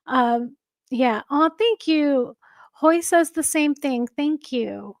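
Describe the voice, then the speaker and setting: A woman hosting a livestream, chatting casually with a soft, calming voice and reading out viewer comments. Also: sits high, slow pace, no echo, clean studio sound. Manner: chatty, warm thanks to viewers, frequent fillers and light laughs. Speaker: livestream host. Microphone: home streaming microphone.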